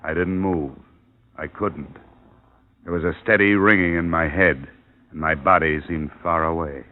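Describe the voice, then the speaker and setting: A man's voice: sits low, steady tone, a little slow. An actor playing a dry, tough, persistent investigator who narrates his own case in an old radio crime drama. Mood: grim. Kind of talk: hardboiled 1940s-50s radio narration and acting. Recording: muffled old radio recording.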